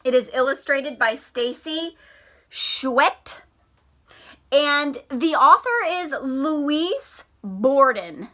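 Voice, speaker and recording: Female schoolteacher for a children's read-aloud lesson; bright, clearly forward tone, slow and deliberate, expressive delivery. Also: sits high. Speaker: elementary school teacher.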